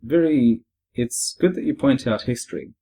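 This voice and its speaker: A young man with a foreign accent, hosting a casual podcast discussion in a measured, reflective way. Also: mid-range voice, expressive, slightly rough. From born abroad, moved to Australia at fifteen. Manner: measured, reflective, with frequent "uh" and soft "mm-hmm" backchannels. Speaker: podcast host.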